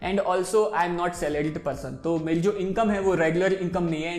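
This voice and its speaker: A man in his twenties with an Indian accent, explaining things informally and directly to viewers as a finance show host, switching between two languages. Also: sits high. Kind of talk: explainer to camera, mixing Hindi and English. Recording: roomy echo, faint music behind.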